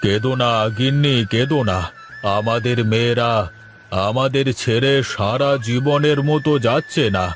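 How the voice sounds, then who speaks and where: A man's voice: mid-range voice, normal speed, lightly acted. Man acting an earnest, protective father over music. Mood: consoling, concerned. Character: caring father and master, consoling and protective. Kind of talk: melodramatic children's cartoon acting.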